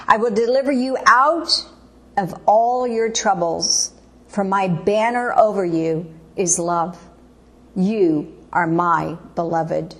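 A woman giving a devotional reading of scripture-style declarations in a measured, solemn, even cadence. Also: medium pitch.

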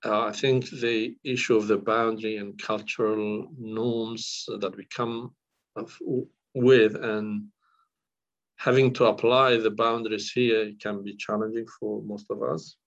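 Man, middle-aged, low-pitched, halting with fillers, muffled lo-fi recording. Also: level tone. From northern Iraq. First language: Kurdish. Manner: hesitant, many fillers and restarts. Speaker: counsellor. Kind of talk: podcast interview. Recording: dull lo-fi audio.

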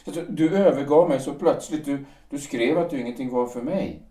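A man's voice: medium pitch, dark tone, natural voice. A man playing a pleading, faltering poet. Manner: halting, pleading, faltering restarts. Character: aging male poet pleading over lost love. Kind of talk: audio drama acting. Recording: roomy, mic at a distance.

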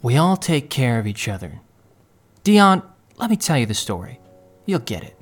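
A man's voice: medium pitch, very animated, normal speed. An actor playing an earnest, reflective male prisoner telling his life story in an audio drama, heartfelt in manner. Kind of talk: audio drama dialogue and first-person narration.

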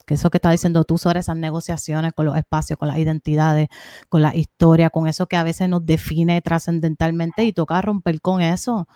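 A woman in a casual conversation who speaks in a halting way, often restarting while she searches for words. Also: sits low, flat delivery, dark tone, no echo, studio quality.

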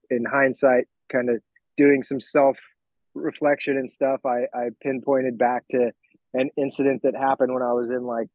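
Male, 40s, American. Candid interview guest, halting and thoughtful; slightly muffled, dry recording. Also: mid-range voice, no echo. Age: forties. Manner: reflective, candid, halting as he picks words. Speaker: fitness coach, podcast guest. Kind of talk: informal interview, personal storytelling.

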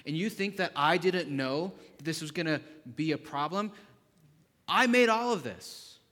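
A preacher puts on a booming, all-powerful male God, exasperated and emphatic, firing loud rhetorical questions at a reluctant servant. The voice rises higher than his normal speaking voice and rings out with a long hall reverb. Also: lively intonation, a little slow.

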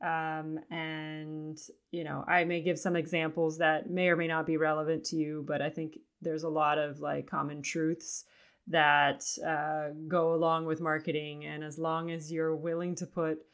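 A woman hosting her own podcast in a chatty, casual way, her voice slightly raspy today and light laughs slipping in between phrases. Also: low voice, little pitch movement, measured pace. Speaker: podcast host. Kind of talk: casual solo podcast talk.

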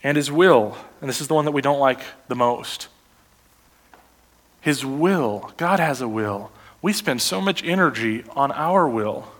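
A man preaching a sermon as pastor, animated and full of rhetorical questions to the congregation. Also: mid-range voice, measured pace.

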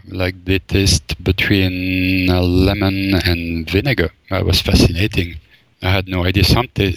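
A man's voice: low voice, a bit bright, no echo, poor audio. A man from Belgium, a forager and chef, answering interview questions thoughtfully, with many fillers and restarts. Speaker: forager and wild food chef, guest.

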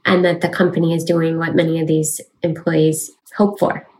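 Woman advisor with a slightly low voice. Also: level tone, normal speed, darkish tone, poor audio. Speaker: lawyer advising companies, panelist. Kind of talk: professional panel discussion.

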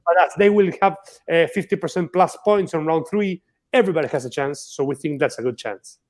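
A man's voice: a little high, a little fast. Hesitant man speaking English. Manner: hesitant, slightly broken English with fillers. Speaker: guest.

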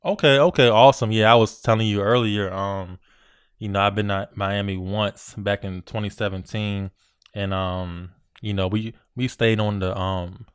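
Smooth-voiced male podcast host, dry sound. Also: on the low side, normal speed, no room sound. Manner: relaxed, filler-heavy, friendly shout-outs. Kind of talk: casual podcast interview. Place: Virginia.

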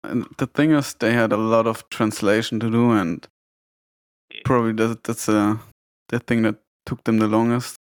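A young German-accented man chats as a guest on a gaming podcast. His voice is on the low side, and the sound is dry with no echo. He talks in a relaxed way and now and then searches for words. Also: normal speed, clear, resonant tone. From Bavaria, Germany. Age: 20s. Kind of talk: casual gaming podcast chat.

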